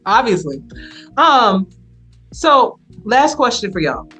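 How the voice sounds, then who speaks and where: Lively, laughing woman podcast host. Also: a little high, drawn-out words, faint music behind. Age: 30s. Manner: laughing, easygoing questioner. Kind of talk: casual interview chat. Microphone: video call.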